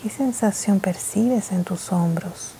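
A woman guiding a mindfulness meditation in a soft, slow, gentle way, with pauses between short phrases. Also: medium pitch, a little hiss or hum, rough recording. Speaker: meditation guide. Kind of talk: guided mindfulness meditation, scripted and instructional.

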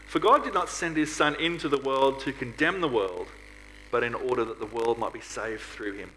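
A man's voice: medium pitch, moderate pace, a bit bright, low-quality recording. Male preacher with noticeable background noise. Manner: earnest, with rhetorical questions. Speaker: preacher at a church. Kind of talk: sermon to a congregation.

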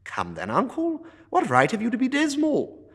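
A man voicing a cheerful, warm-hearted young nephew who speaks in an earnest, pleading way. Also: extremely high pitch, huge pitch swings, moderate pace, husky, pitched above the performer's own voice, exaggerated voice. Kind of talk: storybook character reading.

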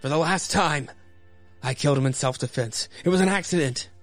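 Man acting a creaky, anguished young man. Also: fairly high pitch, faint music behind, pitched above the performer's own voice, close to an everyday voice. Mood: defiant. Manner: earnest, dramatic, halting when troubled. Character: defiant, bewildered young condemned Irishman.

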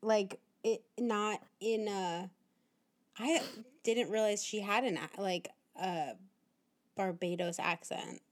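Chatty woman podcast co-host, quick reactions. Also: medium pitch. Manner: reactive, short interjections and curious asides. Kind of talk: casual chat about a film.

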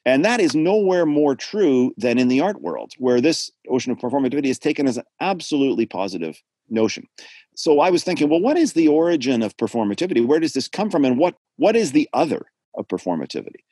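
A man's voice: medium pitch, quick pace, dry recording. A man speaking thoughtfully and at length as a guest in a discussion, over a video call from home. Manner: thoughtful, digressive, lively intonation. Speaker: art theorist guest. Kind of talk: reflective spoken lecture-like talk.

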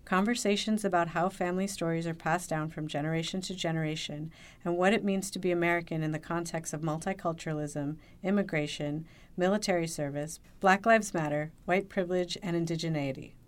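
A woman's voice: lowish voice, steady tone, a little fast, hardly any pauses. A woman hosting an interview podcast, introducing the show and putting questions to her guest in a studio. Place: recording studio.

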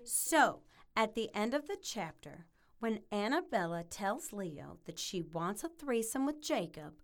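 A woman's voice: mid-range voice, very animated, measured pace. A woman playing an attentive book-club member in an audio drama. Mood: matter-of-fact.

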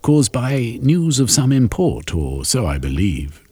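Brief: a pompous, petulant club gentleman, played by a man, pitched higher, husky edge. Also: very animated, a little slow, no room sound. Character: vain touchy gentleman club member, male.

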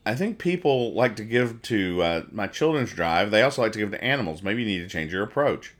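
A practical cartoon woman handing out advice, read aloud by a man. The delivery is conversational, as in a comic strip read out loud. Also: fairly low pitch, very animated, brisk. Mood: advising.

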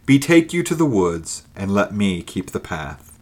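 A man voicing the devil disguised as an elder traveler, measured and persuasive in archaic speech. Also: fairly low pitch, big pitch swings, a little mellow. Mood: sly.

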